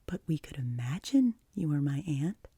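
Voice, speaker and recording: A woman voicing an imploring, imaginative orphan girl in an audiobook, earnest, wistful and breathy. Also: deep voice, very animated, normal speed, dark tone, no room sound, studio quality, exaggerated voice. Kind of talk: audiobook character voice.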